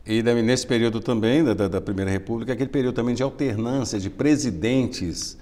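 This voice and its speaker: A middle-aged man hosting a TV studio interview, asking hesitant, halting questions. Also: mid-range voice, dark tone, long reverb tail. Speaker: interviewer host. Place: television studio. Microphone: lapel mic.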